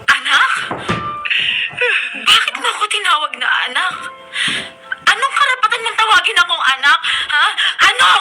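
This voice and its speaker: A woman's voice: very high-pitched, big pitch swings, normal speed, long pauses, over music, rough recording. A woman playing a defiant, bitter young daughter in a Filipino radio drama, scornful and melodramatic. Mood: outraged.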